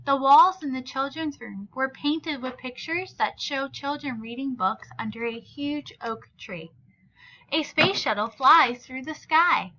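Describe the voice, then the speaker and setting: Bright-voiced woman reading slowly to children. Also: high voice, muffled recording. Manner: deliberate, expressive phrasing for young listeners. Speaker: read-aloud storyteller. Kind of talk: children's picture book read-aloud.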